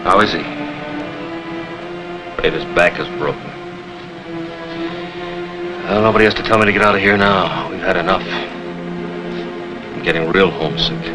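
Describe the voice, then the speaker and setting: A man's voice: deep voice, unhurried, pauses often, rough recording. A man playing a practical, weary submarine officer in a 1950s television war drama. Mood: weary, grim. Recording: 1950s TV soundtrack, loud music behind.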